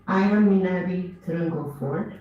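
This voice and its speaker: A speaker from Ethiopia giving an earnest, measured commemorative speech at an event, lifting their voice on key words. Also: medium pitch, slight background noise. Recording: dull, echoey hall, mic far off.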